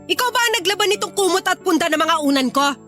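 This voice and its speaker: A woman's voice: very high voice, expressive, quick pace, bright, forceful tone, faint music behind, full character voice. An actress playing a fussy, domineering older mother-in-law in a radio drama, scolding and complaining. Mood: irritated, scolding. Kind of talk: Filipino radio drama acting.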